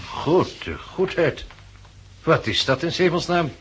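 A man's voice: a little high, expressive, slow, a bit bright. An actor playing a cautious, formal businessman in a 1960s Dutch radio drama. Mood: astonished. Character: cautious businessman, astonished yet wary. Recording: faintly noisy old radio recording.

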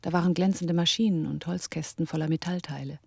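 An actress playing the composed first-person narrator of a crime radio play, reading in a matter-of-fact storytelling style. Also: female voice, fairly low pitch, fairly even intonation, fairly quick, creaky, breathy edge, dry recording, studio quality. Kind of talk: scripted radio drama narration.

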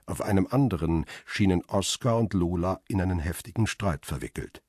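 A middle-aged, world-weary photographer narrating a radio crime thriller in the first person. The voice is very deep and rough-edged, closely and dryly recorded, with a sober, laconic delivery. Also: male voice, moderate pace, a little mellow, no echo, studio quality. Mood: tense, watchful.

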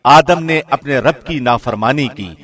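A man reading a scripture translation from a script in a measured, formal announcer's delivery. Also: medium pitch, fairly bright tone. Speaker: translation reader.

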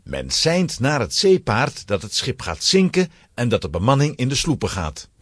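A man's voice: medium pitch, huge pitch swings, normal speed, slight background noise. A man narrating a children's adventure story in a dramatic, scripted storytelling style.

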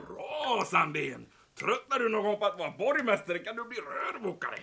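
Man playing a sharp-voiced, officious commandant. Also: sits high, sing-song, vocal fry, slightly rough, exaggerated voice. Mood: composed. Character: fussy, secretive male military commandant, a major. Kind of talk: audio drama acting.

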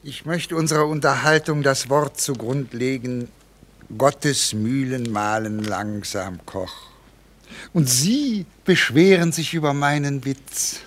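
An actor playing a sanctimonious, quarrelsome army chaplain in a radio play, pointed and preachy. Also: male voice, mid-range voice, slow, slight background noise, lightly acted. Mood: indignant. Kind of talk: German radio play acting.

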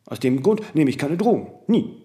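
Male for radio drama: eccentric, opinionated star, very lively intonation, emphatic run-on speech with tag questions. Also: a little high. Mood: self-assured, emphatic. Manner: fast-flowing, self-correcting, emphatic, peppering sentences with "ne?". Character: eccentric, vain, disciplined celebrity man, opinionated monologuist. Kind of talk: radio play dialogue, conversational interview answers.

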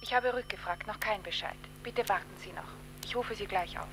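A woman's voice: mid-range voice, a little fast. An actress playing a polite, formal switchboard operator in a radio drama, heard through a telephone line. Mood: formal, neutral. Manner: formal, businesslike. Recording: distant, noisy telephone line with hum.

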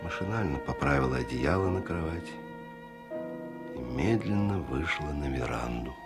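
A man narrating a 1970s Soviet radio drama in a measured, literary storytelling manner. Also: very deep voice, animated. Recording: loud music under the voice.

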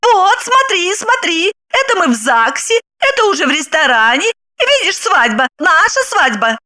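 A brassy middle-aged wife who jeers and shrieks at her husband in a piercing, very high voice that leaps all over the place. Her tone is bright and sharp, full of mockery. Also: big pitch swings, moderate pace, full character voice. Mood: gloating. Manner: shrieking, mocking, cackling. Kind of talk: audiobook character reading.

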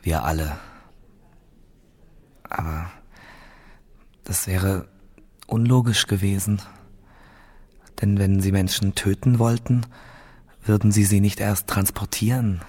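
A low, husky male voice as a haunted prisoner facing death in a radio drama. He tells his ordeal slowly and gravely, subdued and at times nearly whispering. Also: dark tone. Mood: subdued, bewildered. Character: male captive awaiting execution, parched, grave, haunted survivor. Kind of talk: first-person radio drama monologue.

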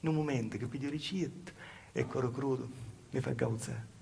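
A man's voice: medium pitch, normal speed, vocal fry, darkish tone, slight background noise, natural voice. An actor playing a humble, wronged southern Italian village woman in a plaintive theatrical monologue, with a Calabrian accent and repetitive storytelling. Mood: weary, plaintive. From southern Italy, Calabria. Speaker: stage actor performing a monologue. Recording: live stage performance with music. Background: live music.

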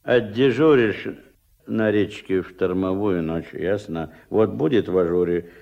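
A dull, old radio drama recording of a gruff, bossy middle-aged fish farm chief. His voice is low and gravelly, and he talks in curt, unhurried commands. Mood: overbearing. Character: gruff, shrewd, domineering fish farm boss, stocky man. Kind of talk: Soviet radio play acting.

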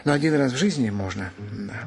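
A man's voice: mid-range voice, animated, a little fast, natural voice. A man acting in a radio play as an eccentric, middle-aged mystic husband who declaims in a lofty, solemn manner. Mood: solemn, grandiose. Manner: lofty, declamatory, pompous phrasing. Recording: studio radio drama with music behind.

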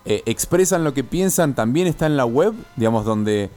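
A man's voice: medium pitch, expressive, normal speed, a little mellow, soft background music. A man with an Argentine accent asking questions in a conversational radio interview, hesitant and groping for his wording. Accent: Rioplatense Argentine.

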